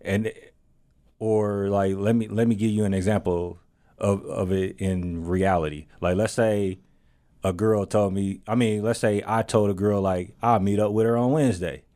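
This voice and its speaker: A man's voice: fairly low pitch, fairly even intonation, normal speed, dark tone. A man co-hosting a casual talk show, explaining his points step by step and acting out everyday examples. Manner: explains with acted-out examples, builds up energy. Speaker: co-host. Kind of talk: casual podcast chat.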